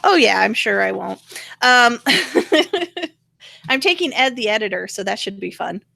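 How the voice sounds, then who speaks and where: A woman's voice: a little high, very animated, a little slow, gravelly, bright, forceful tone, dry recording. Casual woman host in a dry room. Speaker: talk show host. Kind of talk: casual conversational commentary.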